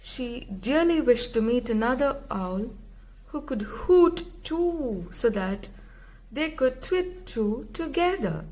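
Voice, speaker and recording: A woman reading a picture book aloud for young children in a deliberate storytelling manner. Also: high voice, big pitch swings, a little mellow, low-quality recording.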